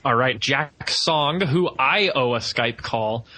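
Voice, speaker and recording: Bright, animated woman podcaster. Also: rumbling low, slow pace. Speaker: podcast co-host. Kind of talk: casual chat.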